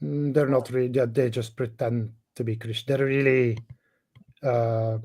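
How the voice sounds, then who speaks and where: Middle-aged Flemish man, Dutch native, halting caller, mid pitch. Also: little pitch movement, darkish tone. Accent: Flemish Belgian. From Belgium, Dutch-speaking part. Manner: halting, hesitant, many restarts and fillers. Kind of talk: casual call-in chat.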